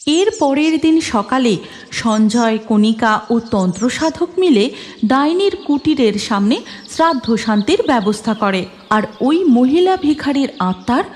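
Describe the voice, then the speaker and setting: A woman's voice: fairly high pitch, lively intonation, unhurried, a little mellow, slight background noise. A woman narrating a cartoon story from a script.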